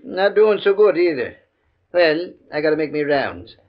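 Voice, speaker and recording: A man's voice: fairly high pitch, big pitch swings, moderate pace, slight background noise. A man playing a kindly, chatty old night watchman with a folksy manner in a 1950s radio play. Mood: chatty, joking. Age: older. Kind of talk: 1950s radio drama acting. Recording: dull, muffled old radio recording.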